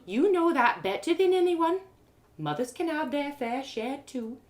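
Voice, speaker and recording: A woman's voice: high voice, big pitch swings, unhurried, voice pushed up. A woman voicing a cheerful, doting village mother from a storybook, teasing and coaxing in rustic phrasing. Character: cheerful doting village mother, nudging her daughter to marry. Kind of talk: storybook character voice.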